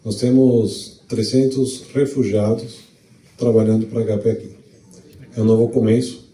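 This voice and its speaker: A man's voice: on the low side, a little slow, distant mic, slight background noise. Venezuelan-born man hosting an event. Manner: relaxed, chatty, with restarts. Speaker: event host. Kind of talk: speaking at a launch event. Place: event hall. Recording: echoey hall, mic some way off.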